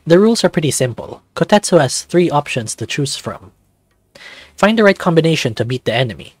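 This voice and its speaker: A man reading scripted second-person narration for a visual novel in an even storytelling manner. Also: a little high, brisk, slightly rough, no echo, faint music behind.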